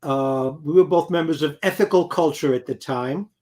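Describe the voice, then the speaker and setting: Rambling older native New Yorker musician. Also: male voice, fairly high pitch, normal speed, darkish tone. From New York City. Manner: rambling, anecdotal, many fillers. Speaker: guest musician. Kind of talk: casual interview chat.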